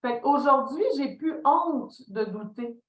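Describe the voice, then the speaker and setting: A woman's voice: sits high, takes it slowly. Québécois woman in an echoey room. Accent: Québécois French. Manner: animated storytelling, acts out quoted dialogue. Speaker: podcast guest, leadership consultant. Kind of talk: reflective interview talk.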